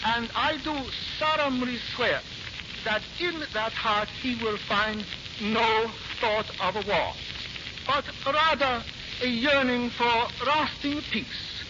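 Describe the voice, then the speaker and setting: Smooth envoy, unusually high pitch, formal and measured, 1940s radio play with heavy hiss. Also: male voice. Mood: solemn, courtly. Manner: formal, ceremonious, measured oratory. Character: smooth, solemn Japanese envoy proposing toasts of peace. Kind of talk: 1940s radio drama acting. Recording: noisy, muffled 1940s radio recording.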